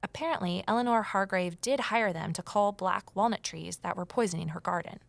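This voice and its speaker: An actress plays an anxious, earnest young woman investigating small-town trouble in an audio drama. Her voice has a frequent fry and sits very dry, and she confides with intensity. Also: medium pitch, fairly quick, dry recording, natural voice. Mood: earnest, intent. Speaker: lead character and first-person narrator. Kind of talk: full-cast audio drama acting and narration.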